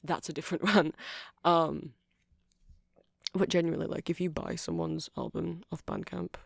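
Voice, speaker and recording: A man's voice: a little high, moderate pace, gravelly, husky, no room sound, studio quality, exaggerated voice. A man playing a nerdy vampire who keeps a music shop, rambling eagerly and apologetically in a casual ASMR roleplay. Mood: exasperated. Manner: hesitant, apologetic, excitable rambling.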